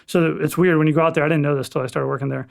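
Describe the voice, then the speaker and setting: A young man from Florida, a firefighter paramedic, chatting casually as a podcast guest in a relaxed, rambling way with casual swearing. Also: a little high, rapid-fire, gravelly. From Florida, USA. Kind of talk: casual chat.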